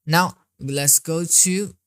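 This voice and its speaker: A woman narrating a software tutorial step by step, describing each click as she goes. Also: low-pitched, steady tone, drawn-out words, dry recording, clean studio sound. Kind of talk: screen-recorded tutorial walkthrough.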